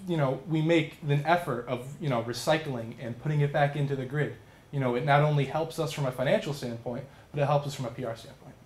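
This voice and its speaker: A man's voice: medium pitch, quick pace, slight background noise. Earnest young man in an echoey room. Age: young adult. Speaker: student contestant pitching a business idea. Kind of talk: explanatory pitch and answers to judges. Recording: roomy, echoing live sound.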